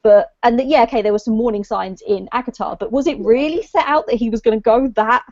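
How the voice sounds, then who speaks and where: Higher-pitched, mellow woman chatting. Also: fast, no room sound, highs cut off. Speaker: book discussion panelist. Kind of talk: casual chat, book discussion.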